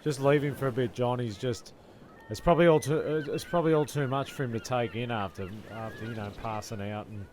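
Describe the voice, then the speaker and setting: A man playing a matey, exasperated castaway in a comedy audio sketch, talking haltingly. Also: medium pitch, a little mellow, a little hiss or hum, lightly acted. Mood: concerned.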